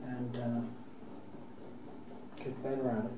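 Slow, mellow Norwegian-accented man. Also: mid-range voice, level tone. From Norway. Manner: rambling, restarting, swears casually. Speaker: painting teacher. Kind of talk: painting demonstration. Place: painting studio, indoors. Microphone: camera mic, at a distance. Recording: roomy, distant, faint background hiss.

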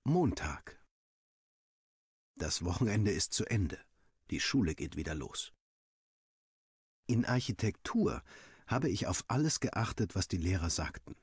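Male narrator for a first-person story, lowish pitch, lively intonation, acts out the dialogue. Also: a little slow, pauses often, slightly rough, dry recording, clean studio sound. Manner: animated storytelling. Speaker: audiobook reader.

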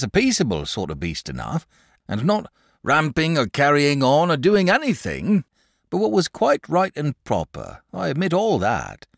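A man's voice: fairly high pitch, creaky, no echo, studio quality, voice pushed up, heavily acted. A man voicing a nervous, wordy middle-aged country shepherd with rustic speech.

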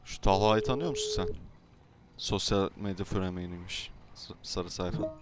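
A man's voice: low voice, lively intonation, moderate pace. A man improvising pushy, informal role-play in an online game, firing questions at others. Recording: noisy game voice chat.

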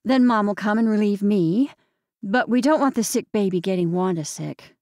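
A woman's voice: medium pitch, normal speed, darkish tone, dry recording, natural voice. Young mother, blunt, pointed, harried, voiced by a woman narrator, dry recording. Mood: sharp.

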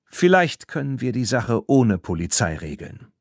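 A man's voice: mid-range voice, sing-song, a little slow, gravelly, no echo, studio quality, exaggerated voice. A man voicing a fair-minded farm woman in an audiobook, earnest and appealing. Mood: earnest, conciliatory. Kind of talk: audiobook character reading.

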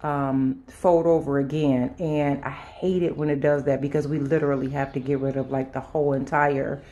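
A woman with a very low, soft-edged, dark voice gives an informal home tour. She speaks to viewers like a lifestyle vlogger, unscripted and halting, often circling back to restart a thought. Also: little pitch movement, highs cut off. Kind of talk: casual chat to viewers during a house tour. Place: inside a house, walking between rooms and sun porch.

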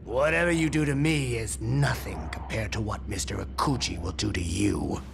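An actor playing a defiant gang henchman in a video game, with a gravelly voice. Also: lowish voice, moderate pace. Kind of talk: video game cutscene acting. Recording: loud background noise.

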